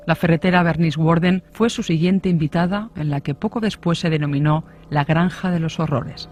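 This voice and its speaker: Man acting a higher-voiced crime storyteller. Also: moderate pace, darkish tone, a little hiss or hum, natural voice. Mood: grave. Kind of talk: scripted suspense storytelling. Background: music.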